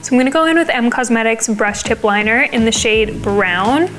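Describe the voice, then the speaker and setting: A young woman, slightly raspy as she gets over a cold, explaining a makeup look step by step in a casual, chatty way. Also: on the high side, expressive, normal speed, a bit bright, soft background music. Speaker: beauty vlogger.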